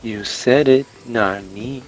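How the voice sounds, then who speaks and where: A man's voice: mid-range voice, slow, faint music behind, lightly acted, rough recording. A man voicing a curt, prickly teenage anime girl with defensive retorts. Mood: dry.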